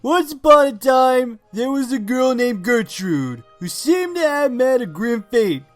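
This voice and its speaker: A man narrating in a measured, fairy-tale storytelling manner for a comic fan dub. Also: very high voice, big pitch swings, faint music behind.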